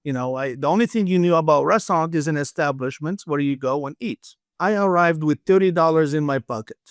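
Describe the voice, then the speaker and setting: A man's voice: fairly high pitch, a little fast, no echo, clean studio sound. A man in his fifties from Morocco, with a Moroccan accent, telling his story conversationally as an interview guest. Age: 50s. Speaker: interview guest, restaurant founder.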